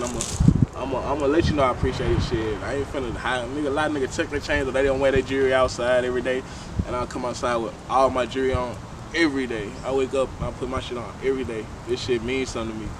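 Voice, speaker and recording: A man's voice: mid-range voice, steady tone, quick pace, far from the mic, noisy background. A man, talking loosely in a casual interview and often restarting his phrases. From Shreveport, Louisiana, USA. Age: 20s. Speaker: guest, tattoo artist.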